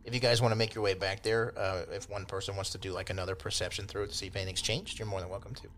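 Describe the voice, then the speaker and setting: Man, low pitch, game master, casual narration, explanatory, noticeable background noise. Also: flat delivery, racing, fairly bright tone. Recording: constant clear background noise.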